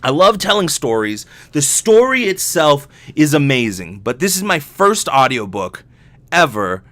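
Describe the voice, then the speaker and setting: A man's voice: fairly high pitch, sing-song, unhurried, fairly bright tone, no room sound. A man hosting a solo podcast in a casual, rambling, self-deprecating and joking way, swearing freely. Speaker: podcast host, voice actor.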